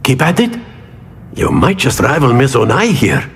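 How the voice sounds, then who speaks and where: An actor playing a jovial older male magic-school professor in a video game, theatrical, teasing and wordy, with drawn-out prompting hums. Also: mid-range voice, huge pitch swings, fairly bright tone, big-room sound, slight background noise. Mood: encouraging, playful. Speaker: charms teacher.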